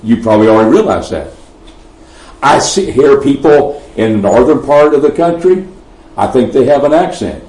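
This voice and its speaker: A man's voice: mid-range voice, lively intonation, normal speed, a little mellow. Texan man preaching in an echoey hall. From Texas. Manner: emphatic, swelling on key phrases, frequent restarts. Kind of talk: sermon. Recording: roomy echo, mic at a distance.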